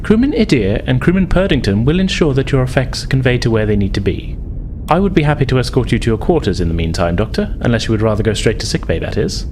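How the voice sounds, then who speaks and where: A man's voice: mid-range voice, sing-song, fast talker, noisy background, voice pushed up, lightly acted. Man voicing a stiff, formal Vulcan woman officer. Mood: formal, reserved. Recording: music playing behind the voice.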